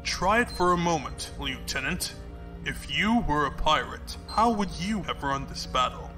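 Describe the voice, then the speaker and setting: A man playing a composed, shrewd space commodore in an audio drama, measured and probing with calm authority. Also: fairly high pitch, music in the background. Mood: probing, instructive.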